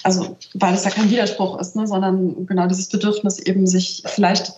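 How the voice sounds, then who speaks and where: A woman's voice: medium pitch, flat delivery, fairly quick, background noise. Thoughtful middle-aged woman, roomy dull line. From northern Germany, near Celle. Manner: thoughtful, searching, frequent "ne?" and "also". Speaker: literary translator, interview guest. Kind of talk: reflective interview talk. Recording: roomy, slightly dull remote audio.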